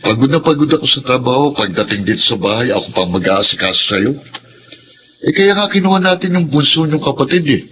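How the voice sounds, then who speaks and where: A man's voice: mid-range voice, animated, brisk, noisy background, natural voice. An actor playing a pushy, impatient married man with a Filipino accent in a radio drama, insistent and dramatic. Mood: irritated, weary.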